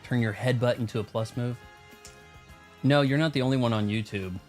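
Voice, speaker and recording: A man's voice: medium pitch, a little fast, faint music behind. An American man hosting a video game livestream, talking casually in a rambling, conversational way. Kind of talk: casual gaming stream chat and commentary.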